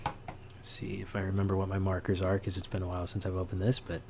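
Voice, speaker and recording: A man giving a casual, unscripted sound design tutorial, halting and thinking aloud as he works. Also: low-pitched, completely flat delivery, background noise. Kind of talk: casual, unscripted software walkthrough.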